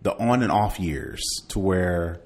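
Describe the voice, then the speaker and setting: Low-voiced American man chatting casually. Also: slow, a little mellow. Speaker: podcast co-host. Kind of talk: casual chat.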